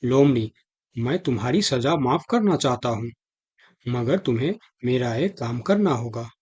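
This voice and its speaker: A man voicing a proud, hungry big cat in a children's cartoon, with a husky voice. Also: mid-range voice, lively intonation, unhurried, darkish tone. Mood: stern, assured. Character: proud commanding lion king and menacing hungry tiger. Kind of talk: Hindi children's cartoon dialogue.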